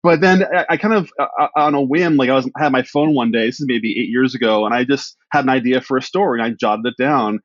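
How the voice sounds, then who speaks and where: A man's voice: mid-range voice, fast, few pauses, no room sound. Chatty self-deprecating man, dry dull recording. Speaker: podcast guest, writer. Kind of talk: casual interview chat.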